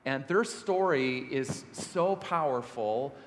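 A man's voice: medium pitch, slow. A man who is a pastor, introducing guests and interviewing them on a church stage, often repeating words as he goes. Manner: warm, hesitant, repeats words. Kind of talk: sermon-style stage interview. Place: large church hall. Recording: echoey church hall.

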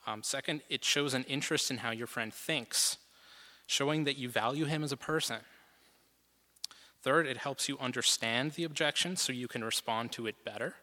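A man presenting part of a church lesson from notes, steady and methodical, with some fillers. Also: mid-range voice, normal speed, in a large hall. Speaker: guest presenter.

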